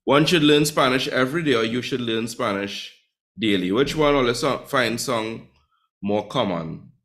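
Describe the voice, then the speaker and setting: A man runs a live online Spanish class. He talks playfully, teasing his students and calling on them by name. His voice sits at an ordinary, mid-range pitch. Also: measured pace, a bit bright. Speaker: Spanish teacher.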